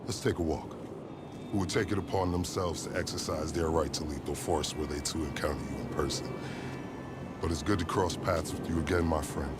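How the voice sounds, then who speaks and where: An actor playing a verbose, sardonic police recruit and schemer in a video game, speaking in pompous, formal phrases. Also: male voice, a bass voice, fairly even intonation, a little fast, loud music behind the voice. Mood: formal, cautioning. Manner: pompous, wordy formal diction. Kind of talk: scripted video game cutscene acting.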